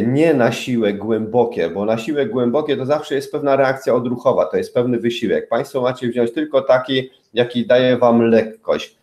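An older man giving a webinar talk to viewers, explaining slowly and deliberately with many pauses and a gentle, coaxing manner. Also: medium pitch. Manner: gentle, coaxing, uses diminutives. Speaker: spinal therapist. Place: small indoor room. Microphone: webcam at desk.

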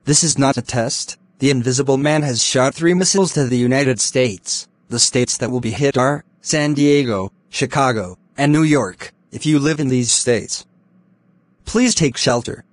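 A man reading scripted emergency broadcast announcements in a formal, flat synthetic delivery. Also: mid-range voice, moderate pace, no echo.

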